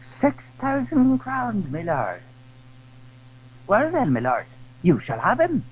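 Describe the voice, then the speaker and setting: A shrewd, servile goldsmith of a period radio play. He hesitates and draws out his words in a husky voice that leaps all over. The recording is old and dull. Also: sits high, wildly swooping pitch, full character voice. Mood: sly, obliging. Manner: hemming, drawn-out, deferential. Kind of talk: period radio drama acting.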